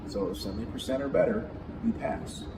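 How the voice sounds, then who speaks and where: A man's voice: mid-range voice, a little fast, gravelly. A man working as an air traffic controller explains his job in an interview, emphatically, often checking with a short tag question that the listener follows. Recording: noisy, echoey room, distant mic.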